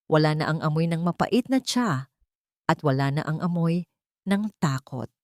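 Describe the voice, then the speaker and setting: A woman with a Filipino accent narrating a scripted dramatic story in a solemn, deliberate, literary way. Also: low voice, animated, no echo, studio quality.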